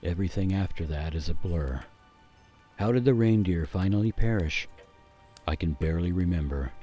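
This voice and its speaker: A man playing a grave gingerbread man, narrating a radio play as a haunted survivor with theatrical suspense and weighty pauses. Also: low-pitched, lively intonation, mellow, faint music behind. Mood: grim, rueful. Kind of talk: dramatic first-person storytelling for a radio play.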